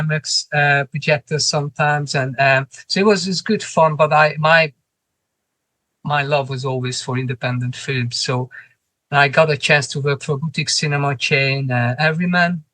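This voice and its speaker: Hungarian-accented man, halting and dry. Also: fairly high pitch, fairly even intonation, sharp tone, no room sound. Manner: halting, restarts phrases, non-native grammar. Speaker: cinema manager, guest. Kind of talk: podcast interview answers.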